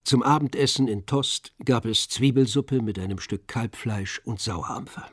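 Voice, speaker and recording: A man's voice: mid-range voice, normal speed, breathy edge, no room sound, studio quality. A man reading scripted literary narration as the narrator of a radio drama.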